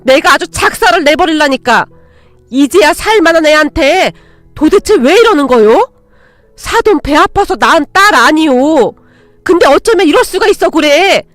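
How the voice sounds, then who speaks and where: A woman's voice: high voice, lively intonation, normal speed, a bit bright, no echo, soft background music. A woman voicing a protective older mother-in-law in a dramatized story, with a folksy, old-fashioned elder's way of speaking. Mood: fierce, protective. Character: fierce, protective older mother-in-law, tender with her own.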